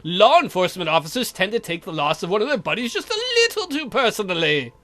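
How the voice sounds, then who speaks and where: An exasperated, sardonic vampire count from a horror game, acted out by a man. The voice is high for a male, bright and full of swings, with music underneath. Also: very animated, fast talker. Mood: sardonic, commanding. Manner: exasperated, sardonic, foul-mouthed. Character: irritable, sardonic male vampire count, protective head of family.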